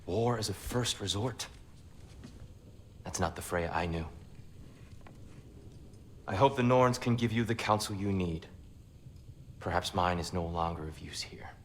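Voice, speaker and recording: A man playing a weary, principled god in a video game, measured, solemn and dryly ironic. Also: deep voice, a little hiss or hum. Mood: wounded, reproachful. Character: weary, principled Norse god, gentle but reproachful. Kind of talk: dramatic video game cutscene acting.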